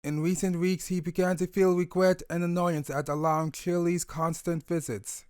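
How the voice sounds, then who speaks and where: A man narrating a scripted romantic audio story in a measured storytelling manner. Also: sits high, level tone, clean tone, no room sound, clean studio sound.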